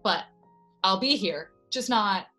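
A woman's voice: medium pitch, animated, slow pace, pushed and bright, soft background music. A woman playing a perky, breezily intrusive waitress in a video-call comedy sketch, with chirpy customer-service politeness. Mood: breezily polite. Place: indoors, in front of a curtain, on a video call.

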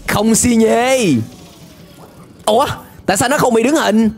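A young adult man giving animated, exclamatory reaction commentary in slangy speech, close on a desk microphone. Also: extremely high pitch, measured pace, faint music behind. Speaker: reaction video host. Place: small indoor room, webcam setup.

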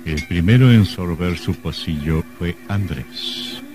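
Grave storyteller, very deep voice, suspense radio drama narration. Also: male voice, lively intonation, slow, over music. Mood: grave, suspenseful.

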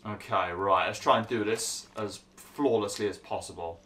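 Gaming host, losing his voice, chatty, lower pitch, bright tone, faint noise. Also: moderate pace. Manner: chatty, grumbling, laughs at himself. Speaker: gaming YouTuber. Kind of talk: gameplay commentary.

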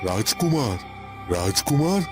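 A man playing a remorseful but hopeful holy hermit in a cartoon fairy tale, speaking solemnly in short, deliberate phrases. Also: mid-range voice, sing-song, over music. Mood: eager, calling out.

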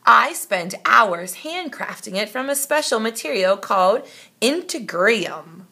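A woman voicing an excitable male count from a storybook, drawing out his exclamations. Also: on the high side, measured pace, creaky, breathy edge, voice pushed up, exaggerated voice. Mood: boastful. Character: excitable old male count of numbers, inventor.

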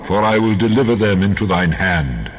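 Man as deep, reverberant voice of God. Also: lively intonation, moderate pace, a little hiss or hum, natural voice. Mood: commanding. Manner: authoritative, solemn. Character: God, commanding, majestic male divine voice. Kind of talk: dramatized Bible reading. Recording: long cavernous reverb.

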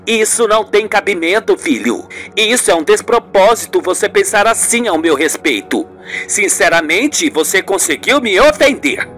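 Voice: medium pitch, lively intonation, moderate pace, fairly bright tone, soft background music, natural voice. A performer voicing a haughty, insistent older mother in a radio soap, with a melodramatic, scolding manner. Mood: offended. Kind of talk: radio soap opera acting.

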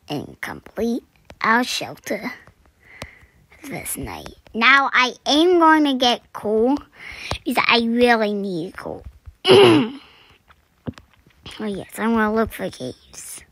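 A woman's voice: fairly high pitch, very animated, takes it slowly, creaky, pauses often, a bit bright. Young woman with a scratchy morning voice. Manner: chatty, self-deprecating, often drops to a whisper. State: scratchy, throat-clearing voice. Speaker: gaming channel host. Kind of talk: casual gameplay commentary.

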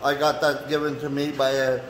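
A man from Canada chatting casually to camera as he shows his workspace, in a halting, folksy way with non-standard phrasing. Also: mid-range voice, level tone. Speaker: vlogger and handyman host. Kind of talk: casual walk-through chat to camera. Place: indoors in a man cave workshop. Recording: echoey room with light background noise.

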